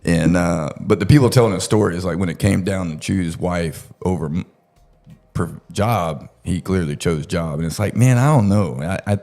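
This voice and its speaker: A man's voice: sits low, normal speed, darkish tone, faint music behind. A man telling a true-crime story casually, with crude, profane joking and laughter woven in. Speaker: podcast storyteller.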